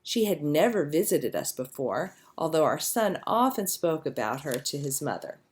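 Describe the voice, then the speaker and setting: Expressive woman reading a storybook, lively pitch. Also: normal speed. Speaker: storybook reader. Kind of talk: scripted children's story narration, read aloud.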